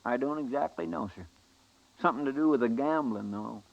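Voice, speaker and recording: An actor playing a folksy, eager marshal's helper, hesitant and respectful, in 1950s radio western style. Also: male voice, medium pitch, brisk, gravelly, highs cut off, lightly acted. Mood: earnest, obliging.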